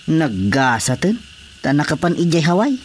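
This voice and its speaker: An actor playing an eager, hesitant young brother-in-law in an Ilocano radio comedy drama, with an Ilocano accent. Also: male voice, fairly high pitch, sing-song, moderate pace. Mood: eager, deferential. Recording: faint background noise with crickets.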